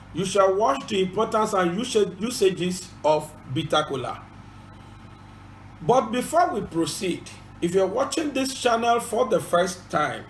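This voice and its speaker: Yoruba man, high voice, earnest bilingual channel host, roomy echo. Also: slight background noise. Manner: earnest, repetitive, formal instructions. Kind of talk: informative bilingual presentation.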